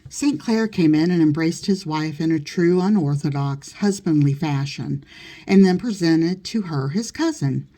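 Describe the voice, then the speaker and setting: A woman narrates a literary audiobook. Her voice sits low for a woman, with a dark, relaxed tone suited to reading prose aloud. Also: unhurried, rough recording. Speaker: audiobook reader.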